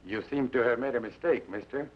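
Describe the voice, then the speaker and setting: A stern, formal bandit captain played by a man in an old radio drama. He talks quickly in a clipped way. The muffled recording is echoey, with clear hiss. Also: medium pitch, far from the mic, natural voice. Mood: formal, obedient.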